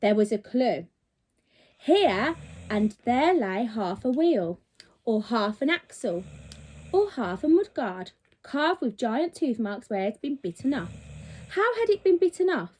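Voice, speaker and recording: Animated high-voiced woman reading a story. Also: a little slow, husky. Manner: animated, dramatic delivery. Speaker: primary school teacher. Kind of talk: expressive storybook read-aloud for children.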